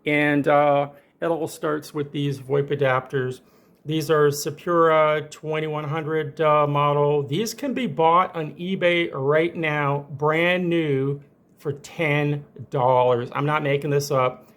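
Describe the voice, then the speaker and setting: A man with a voice pitched a little above average presents a hands-on technical demonstration. He speaks informally and with enthusiasm, as a tutorial presenter explaining gear to viewers. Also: fairly even intonation, slow pace, a bit bright.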